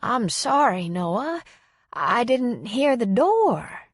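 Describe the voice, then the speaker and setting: An elderly, frail woman, as a female narrator plays her in an audiobook novel. She is gentle, forgetful and apologetic. Her lifted voice moves slowly and haltingly, with a plaintive edge, recorded very dry. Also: fairly high pitch, big pitch swings, breathy edge, dry recording, voice pushed up, full character voice. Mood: apologetic, confused.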